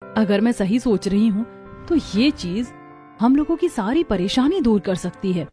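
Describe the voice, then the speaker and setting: A woman's voice: on the high side, expressive, measured pace, dark tone, faint music behind. A woman voicing village women of a poor household in a cartoon story. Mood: earnest. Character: village women of a poor family, daughters-in-law and mother-in-law.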